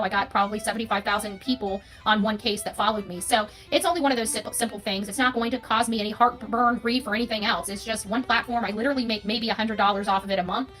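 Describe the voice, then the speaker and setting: A woman's voice: medium pitch, a bit bright, poor audio. A woman with an American accent giving an unscripted, opinionated monologue as an online creator, emphatic and repeating phrases for stress. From United States.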